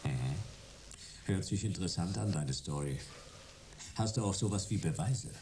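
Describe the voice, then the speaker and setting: An actor playing a dry, hard-boiled private detective in a noir radio play, speaking and narrating in terse phrases. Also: male voice, low-pitched, expressive, normal speed, mellow. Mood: sceptical. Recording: clear background noise.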